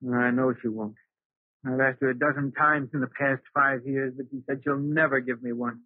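An actor playing a regretful, lovesick husband in an old radio drama, earnest and pleading. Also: male voice, medium pitch, steady tone, normal speed, dry recording, close to an everyday voice. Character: lovesick, regretful, unhappily married man recounting his past. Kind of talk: 1940s radio drama acting. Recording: muffled, remastered old radio recording.

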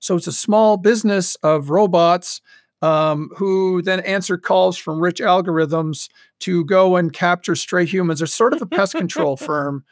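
A man being interviewed as a show creator, chatting casually in a halting way with wry, humorous asides. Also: high voice, no room sound, studio quality. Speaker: podcast guest, audio drama creator. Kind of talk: casual animated interview chat.

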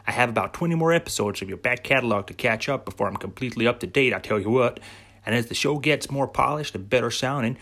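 A man's voice: mid-range voice, fairly bright tone. A man putting on an American accent, close to Texan, to voice an enthusiastic adult male listener reading out folksy fan mail. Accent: put-on American, closer to Texas.

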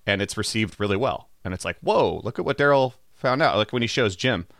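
A man's voice: lowish voice, animated, fairly quick, a bit bright, no echo, clean studio sound. A man co-hosting a podcast, chatting casually and informally about a TV show, often saying "like". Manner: informal, peppered with "like". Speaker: podcast co-host.

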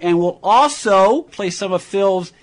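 A man's voice: high-pitched, animated, takes it slowly. A man hosting a radio talk show, presenting and discussing with guests.

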